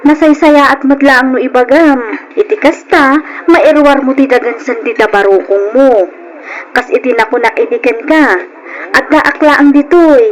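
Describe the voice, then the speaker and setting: A woman's voice: high voice, normal speed, lightly acted, low-quality recording. A Filipino woman playing a soothing, attentive confidante in an old radio drama.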